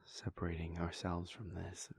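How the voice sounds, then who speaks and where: A man voicing a devoted adult vampire prince in an audio roleplay, speaking in a hushed, halting, intimate way close to the microphone. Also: low-pitched, completely flat delivery, gravelly, husky, heavily acted. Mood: reflective. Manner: hushed, halting and intimate, with soft sighs and pauses. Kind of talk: audio roleplay aimed at the listener.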